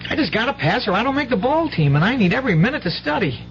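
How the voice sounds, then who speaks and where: An actress playing a worried, impatient schoolboy in a 1970s radio drama, talking in a folksy, plain-spoken way. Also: female voice, on the high side, big pitch swings, racing. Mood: fretful, impatient. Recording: muffled, hissy 1970s radio recording.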